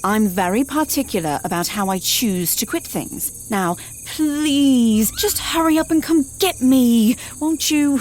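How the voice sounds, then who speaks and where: A woman's voice: on the high side, lively intonation, unhurried, hardly any pauses, a little mellow, slight background noise. A woman playing a dry, particular detective in an audio drama, with wry, formal phrasing. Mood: dry, testy.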